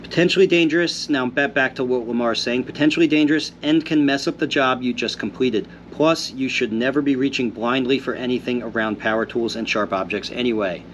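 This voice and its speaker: A man's voice: medium pitch, brisk, few pauses, slight background noise. Congested man reading viewer comments. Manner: reads viewer comments aloud and replies in an easygoing, thoughtful way. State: congested after illness. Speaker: host answering viewer questions.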